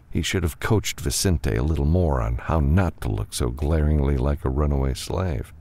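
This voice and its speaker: A man's voice: extremely low pitch, a little mellow. A man reading scripted fiction as an audiobook narrator.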